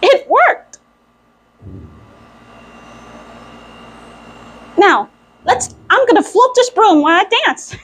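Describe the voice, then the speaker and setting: A woman's voice: very high voice, sing-song, a little slow, full character voice. A woman voicing a playful, clumsy female cartoon mouse who is an apprentice sorceress, chatting to herself in a role-play video. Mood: delighted. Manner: chatty, thinking aloud in short exclamations. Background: faint music.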